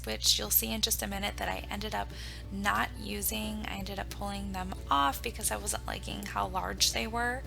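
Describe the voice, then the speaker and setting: A woman hosting her own home decor channel, her voice raspy from a recent illness, walking viewers through each craft step in a chatty, plain way. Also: medium pitch, sharp tone. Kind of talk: casual step-by-step craft tutorial.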